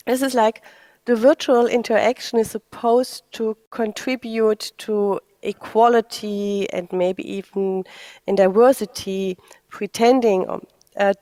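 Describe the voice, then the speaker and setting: A woman moderating a panel discussion, speaking in a measured, halting way. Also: on the high side, a little mellow, dry recording.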